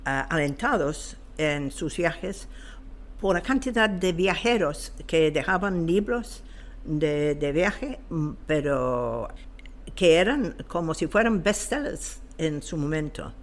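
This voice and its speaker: A woman, explaining history as an interview guest in a hesitant, halting way as she searches for words. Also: deep voice, lively intonation. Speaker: guest expert on local history. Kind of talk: unscripted explanatory talk.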